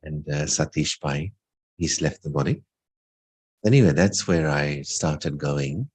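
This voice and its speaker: A man's voice: rumbling low, measured pace, husky. A middle-aged man giving an informal spiritual talk about his own life, reflective and lightly humorous. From England. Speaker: spiritual speaker. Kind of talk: informal talk sharing personal story.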